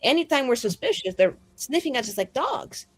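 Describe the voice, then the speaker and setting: A woman's voice: high-pitched, very animated, fairly quick, breathy edge. A woman in casual roleplay chat as a tabletop game player, with a flippant, rambling, slangy way of talking. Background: background music.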